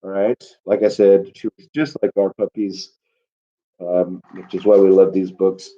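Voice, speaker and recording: A man's voice: low-pitched, little pitch movement, a little slow, warm tone. A man with a stuffy nose, a teacher chatting casually from home on a live class stream, rambling with plenty of fillers. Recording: dull lo-fi recording.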